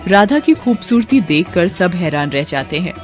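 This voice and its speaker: A woman's voice: fairly low pitch, big pitch swings, normal speed, faint music behind. A woman working as narrator, reading a scripted Hindi moral story.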